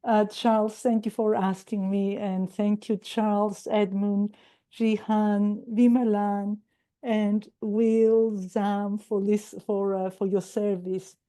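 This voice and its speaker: Woman guest speaker, mellow and a little raspy from a cold, earnest, hesitant delivery over a video call. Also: medium pitch, flat delivery, slow. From Greece. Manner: warm, earnest, with frequent hesitations and restarts. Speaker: guest speaker at a recovery meeting. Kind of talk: personal sharing talk.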